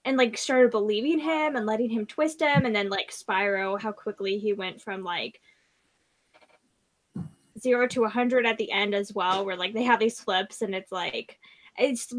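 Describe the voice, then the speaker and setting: A woman's voice: mid-range voice, normal speed, rough recording. A woman hosting a casual book discussion, giving blunt opinions with lots of "like". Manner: frequent "like", opinionated. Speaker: discussion host. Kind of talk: casual chat about books.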